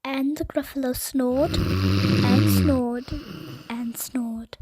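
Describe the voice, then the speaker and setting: Lady reading a bedtime story, high voice, slow and dramatic, music going behind her. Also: wildly swooping pitch, heavy background noise. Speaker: storyteller for children. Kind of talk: expressive read-aloud bedtime story narration.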